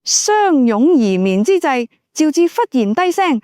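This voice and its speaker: A woman's voice: sits high, big pitch swings, unhurried, mellow, no echo, studio quality. A woman narrating a novel from a script in Cantonese, as an audiobook storyteller.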